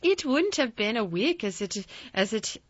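A woman's voice: a little high, big pitch swings, moderate pace, no room sound, dull audio, pitched above the performer's own voice. A woman voicing a friendly, eager young Yorkshire country boy in a storybook reading. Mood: eager wonder.

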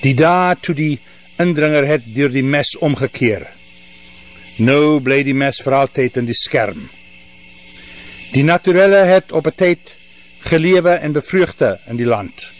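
An older man who hosts a radio show and performs poetry, talking and reciting in a literary storyteller's style. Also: a little high. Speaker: radio host and poet. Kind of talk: casual introduction and dramatic poetry recital. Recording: steady hum and background noise.

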